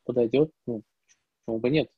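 A man's voice: mid-range voice, brisk, breathy edge, dry recording. Man, 30s, easygoing matter-of-fact speaker, dry, slightly muffled earbud audio. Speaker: conference speaker. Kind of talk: casual Q&A on a video call. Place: small wood-paneled room. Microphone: earbuds on a video call.

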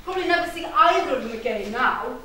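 A woman's voice: high voice, animated, fast, bright, forceful tone. A woman playing an eager, naive young adventurer in a live stage pantomime, with projected, declamatory delivery. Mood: earnest, eager. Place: theatre stage. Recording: very echoey noisy theatre, distant microphone.

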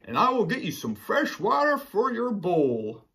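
A man voicing a friendly, wistful and excitable polar bear from a children's storybook. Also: high-pitched, unhurried, voice pushed up, heavily acted. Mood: cheerful. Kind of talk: children's storybook read-aloud.

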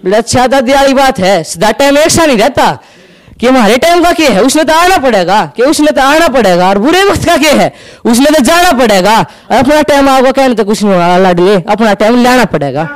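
A young man with a Haryanvi accent doing stand-up storytelling on an indoor stage into a handheld mic, acting out quick back-and-forth dialogue. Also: extremely high pitch, expressive. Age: young adult. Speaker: stand-up comedian. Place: indoor stage with curtain backdrop.

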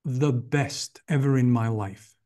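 A middle-aged man, speaking as an interview guest over a video call from home, earnest and enthusiastic with frequent "uh" pauses. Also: medium pitch, dark tone. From Croatia. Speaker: guest, company CEO. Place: home room indoors.

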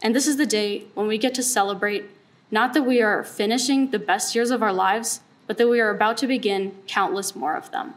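A woman's voice: mid-range voice, fairly quick, slight background noise. A young woman giving an earnest commencement speech with rhetorical repetition. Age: young adult. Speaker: graduating student speaker.

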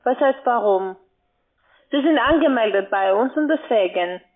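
Female phone sales agent, fairly high pitch, insistent and formal, thin telephone sound. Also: expressive, normal speed. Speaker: call center agent. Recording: tinny band-limited phone line.

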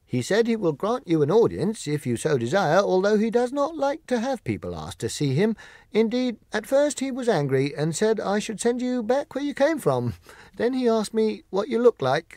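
A man's voice: high voice, sing-song, normal speed, a little mellow, dry recording, clean studio sound, voice pushed up. A man voicing a polite, dutiful palace soldier in a storybook, courteous, formal and emphatic.

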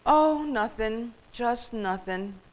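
A woman's voice: fairly high pitch, animated, voice pushed up. A woman voicing a lazy, gloomy young male turkey in a children's story, whining and sighing in a weary drawl. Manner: whiny, sighing, drawn-out drawl.

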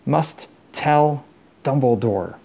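A man voicing a deranged, exhausted older official, halting and breathy, gasping his words out in desperation. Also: fairly high pitch, sing-song, dark tone, voice pushed up, exaggerated voice. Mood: gasping, desperate. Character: deranged exhausted older male ministry official, frantic, muttering.

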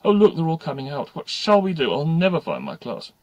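A man's voice: a little high, big pitch swings, a little fast, husky, no room sound, lo-fi sound, pitched above the performer's own voice, heavily acted. A man voicing an earnest, fretful and easily upset schoolgirl with a polite manner.

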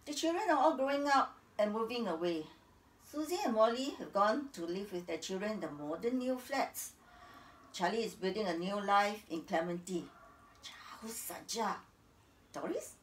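A woman's voice: mid-range voice, animated, moderate pace, lightly acted. A woman playing an embittered, domineering old Chinese widow with a Singaporean accent in a theatrical stage monologue. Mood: resigned, wistful. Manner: theatrical, swinging from hushed narration to indignant outbursts. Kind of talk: dramatic stage monologue, acting out remembered scenes. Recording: roomy, echoing, distant stage-like sound.

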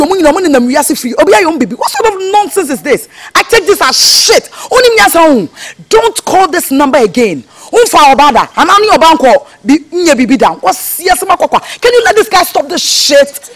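Feisty Ghanaian friend, high-pitched, emphatic, big swings in pitch, screen melodrama. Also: female voice, sing-song, moderate pace, no room sound. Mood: furious. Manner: outspoken, scolding, emphatic. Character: feisty, indignant woman defending her blackmailed friend.

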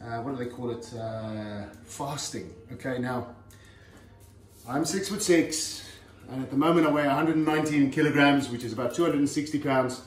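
A man's voice: mid-range voice, normal speed. A middle-aged man, a former heavyweight fighter, chatting casually while he demonstrates cooking in his home kitchen, recorded by a camera set across the counter. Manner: chatty, plain-spoken, lots of fillers and "okay". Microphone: far camera on the counter. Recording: roomy kitchen sound from a distance, faint music.

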